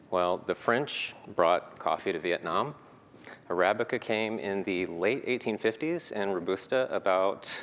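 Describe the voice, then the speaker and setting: A man's voice: low-pitched, fairly bright tone. A man giving a prepared conference talk, earnest and peppered with "um" fillers. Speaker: conference speaker. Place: conference hall. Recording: reverberant hall, faint noise.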